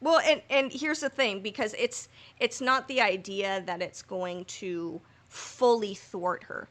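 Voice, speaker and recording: A woman's voice: mid-range voice, a little slow, fairly bright tone. A woman co-hosting a podcast, arguing her view earnestly in casual talk. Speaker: podcast co-host. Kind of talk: casual analytical discussion. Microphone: remote call mic.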